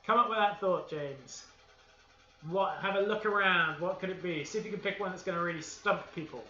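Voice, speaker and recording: A Welsh man with a high, very bright and tense voice, upbeat and playful as he leads children through baking. The sound is echoey and dull, like lo-fi livestream audio from a kitchen. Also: fairly quick, slight background noise. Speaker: host of a kids' baking livestream. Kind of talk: leading a live baking session with a game of I Spy. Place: home kitchen.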